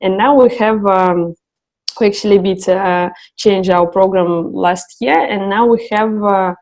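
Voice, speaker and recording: Woman, halting interview English. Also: mid-range voice, darkish tone. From Russia. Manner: hesitant, many fillers and restarts. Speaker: guest, co-founder of a travel project.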